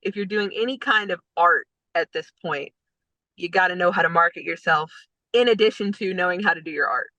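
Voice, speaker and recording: A woman from Texas, a band member, chatting casually in an interview with an animated, laughing manner. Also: on the low side, brisk, no echo. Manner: animated, laughs between phrases, chatty fillers. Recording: slightly dull lo-fi sound.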